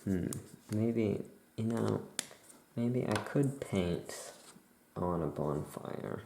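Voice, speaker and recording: A soft-spoken ASMR creator playing a witch shopkeeper, musing aloud in an unhurried way. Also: mid-range voice, animated, gravelly, echoey room, a little hiss or hum.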